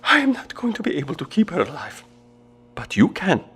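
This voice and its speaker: An actor playing a desperate, frightened father in an audio drama, speaking in a halting, emotionally raw way. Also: high voice, sing-song, a bit bright, a little hiss or hum. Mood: desperate, pleading.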